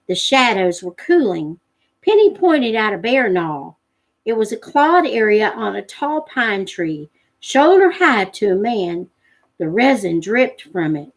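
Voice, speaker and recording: A woman's voice: medium pitch, big pitch swings, unhurried, poor audio. A woman narrating a storybook in a live read-aloud, as the host of a read-along.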